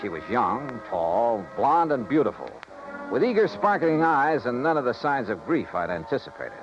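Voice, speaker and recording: A man's voice: mid-range voice, sing-song, moderate pace, natural voice. Man acting a wry radio noir investigator, dull recording. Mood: suspicious, wry. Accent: American. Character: wry, suspicious hard-boiled male insurance investigator in radio noir. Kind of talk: 1950s radio drama acting. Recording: dull old radio recording, faint music.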